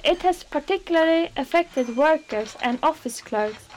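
Woman voicing a kitchen worker, young, nervous, apologetic, high voice, radio play, faint frying behind. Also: lively intonation, moderate pace, a little hiss or hum, poor audio. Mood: earnest. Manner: nervous, pleading, then earnest reading aloud. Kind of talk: radio drama acting.

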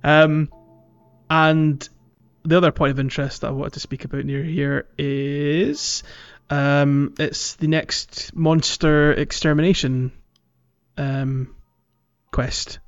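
Male gaming podcaster, fairly high pitch, hesitant conversational delivery, close dry but dull recording over faint music. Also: steady tone, takes it slowly, smooth, dry recording. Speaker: podcast co-host. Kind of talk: casual gaming podcast discussion.

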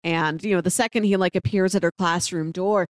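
A woman's voice: lowish voice, fairly even intonation, brisk, clean tone, no room sound, clean studio sound. A woman co-hosting a podcast, casually talking over a TV drama in a rambling storytelling way. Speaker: podcast co-host. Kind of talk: casual chat about a TV drama.

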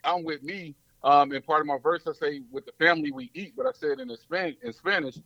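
A man from Mississippi and New Orleans, a rapper talking loudly and casually in slang. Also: a little high, sing-song, a little fast, creaky, no room sound. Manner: loud, slangy, laid-back. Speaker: rapper guest. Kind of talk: casual interview chat. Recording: dull lo-fi dry sound.

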